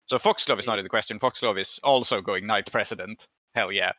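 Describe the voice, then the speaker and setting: A man's voice: on the low side, fairly quick, bright, forceful tone, no echo, dull audio. A man narrating and refereeing an improvised tabletop roleplaying game as game master.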